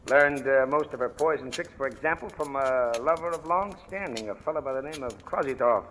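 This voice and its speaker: A man's voice: mid-range voice, fairly quick, fairly bright tone, close to an everyday voice. A man playing a shrewd, sardonic police captain, probing drily in 1940s radio drama style. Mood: sly, sardonic. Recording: reverberant, noisy old radio recording.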